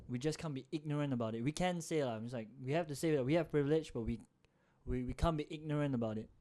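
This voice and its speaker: A man's voice: a little high, a little mellow. A young man with a Singaporean accent, rambling and joking as a co-host in a casual podcast chat.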